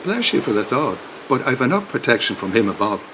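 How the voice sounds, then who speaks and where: An actor playing a caring, gruff Irish priest in a video game, folksy and wry as he fusses and scolds. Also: mid-range voice, sing-song, fairly quick, echoey room, background noise. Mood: wry, firm. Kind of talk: video game cutscene acting.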